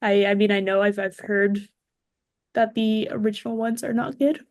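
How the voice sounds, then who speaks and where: A woman with a medium-pitched voice chats casually as a podcast guest. She is expressive and talkative, and her voice is recorded dry, without audible room sound. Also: moderate pace, dry recording.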